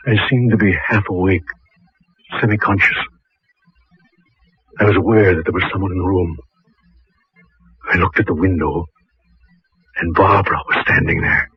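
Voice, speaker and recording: A man's voice: sits low, slightly rough, dry recording, exaggerated voice. An actor playing a haunted, sinister widower, telling his story in an intimate, dramatic radio drama manner. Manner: dramatic, intimate first-person narrating. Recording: dull, muffled radio recording with music.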